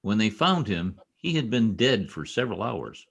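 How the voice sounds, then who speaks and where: A man playing young state trooper, brusque, protective, old-time radio drama style, widely varying pitch. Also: very animated, normal speed, gravelly, a bit bright, breathy edge, muffled recording, exaggerated voice. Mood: brusque, guarded. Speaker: amateur radio play actor. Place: home, over a video call.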